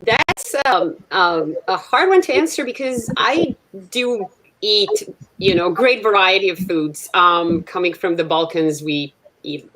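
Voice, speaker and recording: A woman's voice: lowish voice, lively intonation, measured pace, a bit bright, slight background noise, low-quality recording. A middle-aged woman from Serbia, a native Serbo-Croatian speaker, chatting as a singer-songwriter guest from her garage studio, hesitant with many fillers. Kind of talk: casual interview chat.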